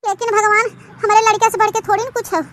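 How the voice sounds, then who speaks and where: A woman's voice: extremely high pitch, flat delivery, fast, a bit bright, a little hiss or hum. A woman voicing an old, guilt-ridden village mother-in-law in an Awadhi comedy cartoon, fretful and wailing, with an Awadhi accent. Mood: anxious, lamenting.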